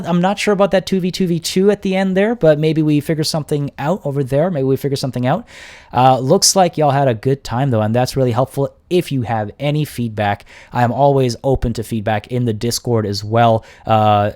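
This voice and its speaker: A young man hosting a game livestream, chatting casually to viewers and reading out their comments, his voice getting worn and hoarse. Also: mid-range voice, a little mellow. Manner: upbeat, chatty, grateful, many restarts. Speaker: livestream host and game caster. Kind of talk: casual livestream sign-off, reading chat aloud.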